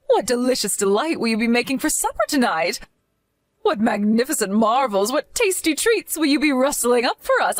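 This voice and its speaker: A woman's voice: fairly high pitch, no echo, pitched above the performer's own voice. A woman voicing a scheming middle-aged mother-in-law who talks in a syrupy, theatrical, falsely sweet way.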